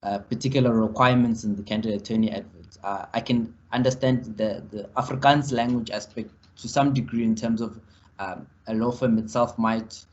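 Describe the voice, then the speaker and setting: A man on a legal panel, answering in a halting way full of ums and restarts over a video call. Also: on the low side, fairly even intonation. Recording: muffled video call audio.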